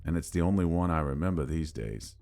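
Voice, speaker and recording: A man with a very low voice narrates a scripted horror tale. He tells it in the first person, with a wry, world-weary manner suited to a bartender's recollection. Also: animated, brisk, creaky, mellow, soft background music.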